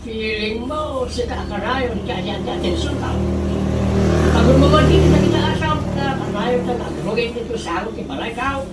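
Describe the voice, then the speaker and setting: A woman's voice: fairly high pitch, expressive, moderate pace, lightly acted. A grumbling, nosy old Ilocano gossip, played by a woman, easily riled. The voice swoops between muttering and outbursts in a comic radio drama, with heavy background noise. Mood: muttering, sulky. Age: older. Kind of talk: comedic radio drama dialogue.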